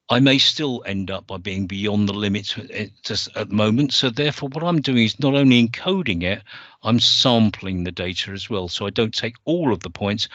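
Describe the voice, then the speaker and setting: Low-voiced man walking through a software demo. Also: dry recording, lo-fi sound. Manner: methodical, self-correcting, explaining step by step. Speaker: software presenter. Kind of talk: screen-share software demo.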